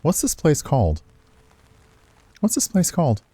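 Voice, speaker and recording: A man's voice: a little high, huge pitch swings, a little slow, mellow, husky, pitched above the performer's own voice, heavily acted. A man voicing an eager, questioning and persistent young woman dancer in a visual novel. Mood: pleading, insistent.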